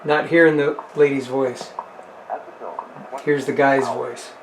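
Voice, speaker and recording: A man's voice: medium pitch, steady tone, takes it slowly, roomy sound, noisy background. A man hosting a hobby video, thinking aloud as he gives a casual hands-on demonstration to the viewer. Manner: thinks aloud while tinkering. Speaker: host of a radio hobby video.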